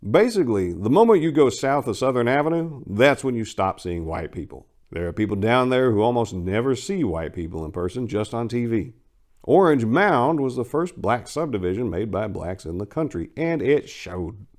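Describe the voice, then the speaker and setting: A man's voice: medium pitch, sing-song, normal speed, a little mellow. A man performing as a host and dramatic story reader, with a theatrical, emphatic, wry delivery. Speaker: writer and channel host performing dramatic readings. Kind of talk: dramatic first-person story reading.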